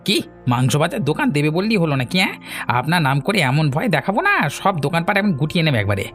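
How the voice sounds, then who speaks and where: A man's voice: fairly high pitch, sing-song, racing, fairly bright tone. A man voicing a bullying, boastful henchman of a village boss in a cartoon, with a sneering, menacing manner. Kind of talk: cartoon dialogue. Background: music.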